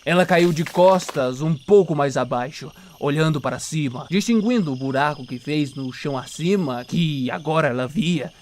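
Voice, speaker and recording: Higher-voiced Brazilian man narrating dramatically. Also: normal speed, hardly any pauses, darkish tone, a little hiss or hum. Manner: suspenseful, short clipped phrases. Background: music.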